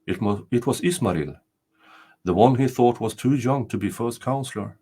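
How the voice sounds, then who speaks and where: An older man narrating a storybook tale aloud in a deliberate, dramatic way. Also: mid-range voice, mellow, husky. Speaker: storyteller reading folktales.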